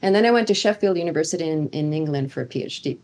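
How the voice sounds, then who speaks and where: A woman's voice: low voice, expressive, rapid-fire, darkish tone. A middle-aged woman originally from Sweden, a seminary professor, answering interview questions earnestly with many fillers and restarts. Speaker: seminary professor of Old Testament.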